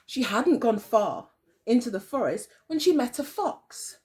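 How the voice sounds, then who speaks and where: A woman's voice: a little high, lively intonation, a little slow, warm tone. A woman teacher telling a story expressively to young children, phrasing carefully and putting questions to them. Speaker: early years teacher, storyteller.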